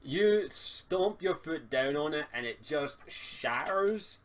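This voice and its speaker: A man improvising narration as a tabletop game master, speaking casually and haltingly, sometimes swearing, on an amateur online recording. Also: on the high side, lively intonation, background noise.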